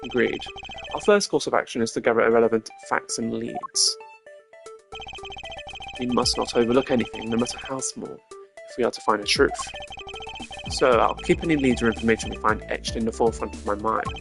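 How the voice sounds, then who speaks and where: A composed, haughty prosecutor from a video game, acted out by a man, mid-pitched with a creaky, gravelly edge. He speaks formally and precisely, with logical self-importance. Music plays clearly behind him. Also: fairly even intonation, brisk, pauses often, natural voice. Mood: cool, resolute. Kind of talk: video game character voice-over.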